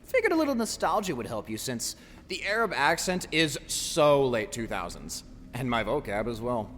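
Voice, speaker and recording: A man's voice: mid-range voice, very animated, moderate pace, a bit bright. A man playing a taunting, sinister voice inside a tormented man's mind in an audio drama, mocking and theatrical. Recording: long reverb, faint background noise.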